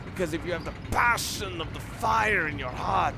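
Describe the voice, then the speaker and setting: Higher-voiced man commentating over noisy gameplay. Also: animated, moderate pace, creaky. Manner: rambling, instructive, self-correcting. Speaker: gamer giving a let's play commentary. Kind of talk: off-the-cuff video game walkthrough commentary. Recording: noisy, game gunfire behind. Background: video game ambience and gunfire.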